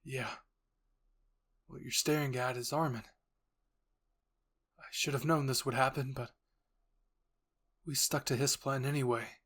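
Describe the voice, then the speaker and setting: A man's voice: mid-range voice, fairly even intonation, measured pace, long pauses, fairly bright tone. A man voicing an intense, grieving young soldier who is fiercely protective, in an urgent, emotional way for a roleplay story. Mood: saddened.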